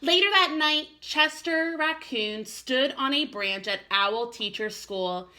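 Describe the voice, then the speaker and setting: A woman's voice: sits high, expressive, slow pace, sharp tone. A woman teacher reading aloud expressively to young children, with an encouraging manner. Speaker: first-grade teacher.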